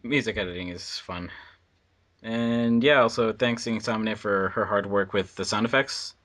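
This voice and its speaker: A man's voice: fairly low pitch, normal speed, a bit bright. A man chatting casually as an editor on a commentary track, rambling and circling back over his points. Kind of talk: casual group commentary chat.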